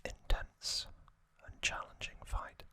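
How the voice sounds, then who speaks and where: Very slow ASMR whisperer. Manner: hushed, slow, deliberate, in short phrases. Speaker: ASMR host. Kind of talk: whispered ASMR story recap.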